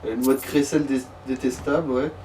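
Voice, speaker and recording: A man's voice: mid-range voice, fairly even intonation, fast talker, dark tone. A man chatting casually and jokingly as co-commentator on a video game. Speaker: gaming co-commentator. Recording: echoey, distant mic, some noise.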